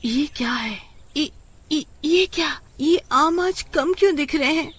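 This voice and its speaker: Woman acting a dramatic, possessive village aunt, swooping pitch. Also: expressive, unhurried, fairly bright tone. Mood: shocked distress. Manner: dramatic, scolding or doting. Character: lonely, possessive village aunt fond of her mangoes.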